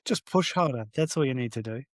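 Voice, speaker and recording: A man's voice: mid-range voice, lively intonation, fairly quick, no echo, studio quality. A man hosting a casual podcast interview, asking questions in a friendly, chatty way. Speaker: podcast host.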